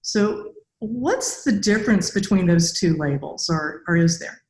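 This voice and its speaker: A woman's voice: fairly low pitch, animated, measured pace, a little mellow. A middle-aged woman hosting a podcast interview in a conversational style, recorded over a video call in an echoey room. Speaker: podcast host, robotics academic. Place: indoor room with bare walls.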